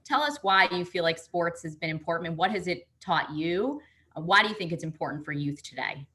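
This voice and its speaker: Low, bright-toned woman interviewer. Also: lively intonation, fairly quick. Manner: polished, complimentary, with fillers. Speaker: interviewer, host. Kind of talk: interview questions.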